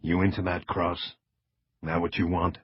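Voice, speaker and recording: A man's voice: deep voice, level tone, unhurried, husky, dry recording, lo-fi sound. A man playing a menacing federal agent in an audiobook, taunting and threatening in a hard-edged way.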